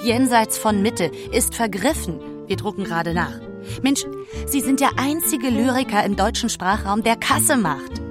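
A woman playing a brisk, insistent, sarcastic publisher badgering her author in a German radio play. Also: on the high side, expressive, over music. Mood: urgent, coaxing.

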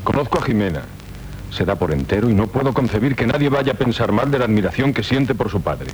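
An actor playing a solemn, devoted young suitor in 1970s radio theatre. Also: male voice, animated, quick pace, natural voice. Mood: earnest, ardent. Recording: rumbly, noisy 1970s radio recording.

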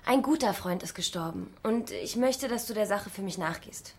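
A woman's voice: mid-range voice, animated, moderate pace, darkish tone, breathy edge. An actress playing a plucky, stubborn young woman in her early twenties in a radio drama, cheeky and insistent. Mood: grave, insistent.

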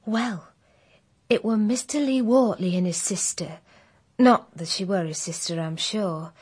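An actress playing a timid, earnest young housemaid in a radio drama, deferential, with a working-class English accent. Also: female voice, medium pitch, measured pace, darkish tone, slightly rough. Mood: anxious, apologetic. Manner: deferential, unpolished grammar.

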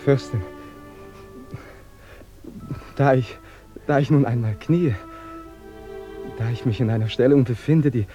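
An actor playing a young courtly knight in a radio drama, devoted and deferential to his princess, speaking haltingly. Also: mid-range voice, dark tone, muffled recording, natural voice. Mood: hesitant, pleading. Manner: halting, repeating words, deferential. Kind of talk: radio drama acting of a literary classic. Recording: music behind the voice.

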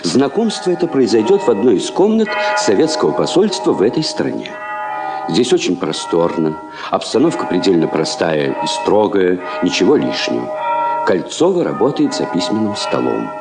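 Deep male radio play narrator, very expressive. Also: moderate pace, loud music behind the voice.

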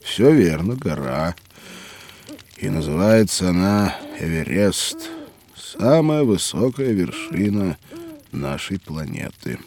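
An actor playing a hearty, hospitable mountaineer in an audio drama for children, speaking expressively. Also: male voice, deep voice, very animated, slow pace, a little mellow, full character voice. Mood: welcoming. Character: hearty hospitable mountaineer by a campfire below Everest. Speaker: mountaineer character.

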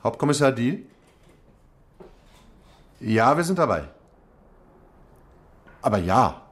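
An actor playing a weary police chief inspector who thinks cases through aloud in a radio drama. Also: male voice, medium pitch, very animated, takes it slowly. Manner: thoughtful, musing, talks it through.

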